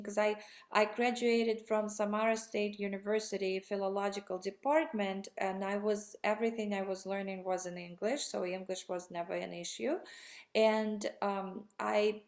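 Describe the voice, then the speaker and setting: A woman's voice: mid-range voice, darkish tone. A woman in her thirties, originally from Russia, answering interview questions in a rambling, enthusiastic way with frequent restarts. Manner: rambling, self-correcting, enthusiastic. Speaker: guest. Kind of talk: conversational interview.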